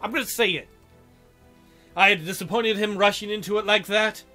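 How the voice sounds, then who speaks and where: A man's voice: sits high, normal speed, pushed and bright, soft background music. A man reading a visual novel aloud in a theatrical way, adding irreverent joking commentary.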